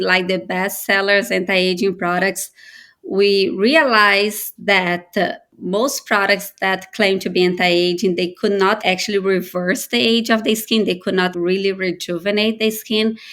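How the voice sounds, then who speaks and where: A woman's voice: medium pitch, a bit bright. Brazilian woman explaining things earnestly. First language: Portuguese. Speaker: guest, biotech founder. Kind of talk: interview answers.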